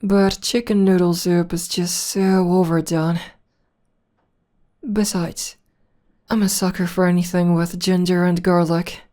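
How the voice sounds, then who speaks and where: A woman's voice: lowish voice, little pitch movement, warm tone, close to an everyday voice. A woman playing a theatrical female supervillain in an ASMR roleplay, arch and teasing, coaxing and tender with a beloved enemy. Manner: arch, unhurried, coaxing, mock-grand.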